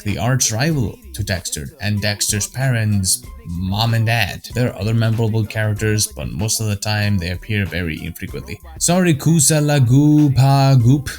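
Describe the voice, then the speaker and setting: A man's voice: on the low side, fairly bright tone. A young man whose first language is Icelandic, reading scripted commentary in a blunt, opinionated way with casual swearing. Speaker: cartoon retrospective host.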